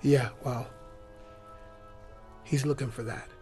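An actor playing a hesitant, placating survivor in an audio drama, answering briefly and haltingly. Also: male voice, medium pitch, steady tone, mellow, lightly acted. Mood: hesitant, subdued. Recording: music behind the voice.

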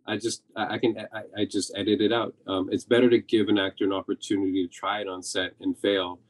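A man's voice: lowish voice, little pitch movement, fast talker. A man in his forties, a TV director, talking casually on a video call from home, thinking aloud with restarts. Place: home room.

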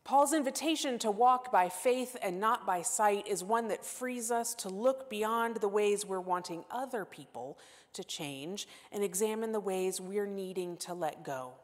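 A woman in her forties giving a sermon as guest preacher, earnest and self-deprecating, moving between emphatic lines and hushed asides. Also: medium pitch. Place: church sanctuary. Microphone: lapel mic. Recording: long church-hall reverb.

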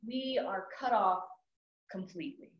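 Woman worship leader, mid-pitched, very slow measured reading, echoey room. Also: muffled recording. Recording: echoey hall.

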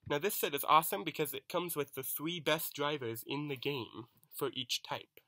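A young man talks through a toy unboxing with eager, chatty energy and a bright, forward tone. He is coming down with a cold. It sounds like a camera mic in a small room at home. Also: on the high side, normal speed. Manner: excited, chatty, self-deprecating. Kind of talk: casual unboxing commentary.

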